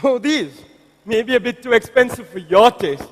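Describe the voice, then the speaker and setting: A man's voice: very high-pitched, lively intonation, moderate pace. A man playing a touchy, guarded doctor in a stage drama, hesitant and defensive. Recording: echoey hall, stage play.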